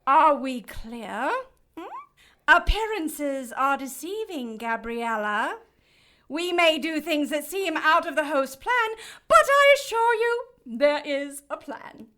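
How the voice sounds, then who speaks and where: A haughty, high-pitched cruel cherub. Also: female voice, big pitch swings, slow pace, sharp tone, exaggerated voice. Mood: menacing, condescending. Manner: imperious, formal, theatrical, gloating. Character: haughty, cruel female cherub passing judgment for heaven's council. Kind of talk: audio drama acting.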